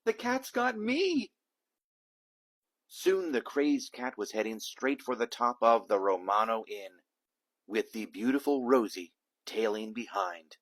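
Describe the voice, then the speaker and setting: A man reading a children's picture book aloud in an animated, theatrical way, with dramatic emphasis. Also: on the low side, a little slow. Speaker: storybook reader, a dad reading aloud.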